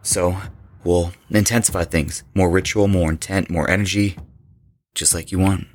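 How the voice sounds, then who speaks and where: A man's voice: low-pitched, steady tone, normal speed, natural voice. An actor playing a cocky, intense young man who leads a rock band, in a horror audio drama. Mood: confident, determined. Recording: voice over prominent background music.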